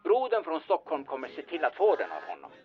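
A man's voice: a little high, huge pitch swings, narrow, tinny audio. A man playing a bossy, scheming small-time fixer in an audio drama, insistent, sardonic and conspiratorial. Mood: conspiratorial, wry.

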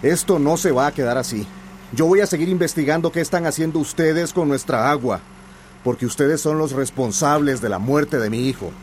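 A man's voice: fairly high pitch, fairly quick, slight background noise, lightly acted. A man playing a grieving, indignant father in a radio drama, questioning insistently and confrontationally. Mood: angry, alarmed.

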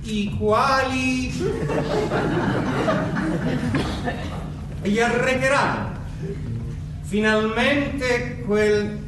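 In a noisy, distant theatre recording, a young Roman emperor unhinged by power. His high voice delivers grand, pompous oratory with halting turns, swimming in heavy echo. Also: animated, heavily acted. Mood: vulgar, gleeful outburst. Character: young, mad, pompous Roman emperor turning foul-mouthed. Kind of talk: theatrical monologue, formal oration to the senate. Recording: echoey, distant, noisy live stage recording.

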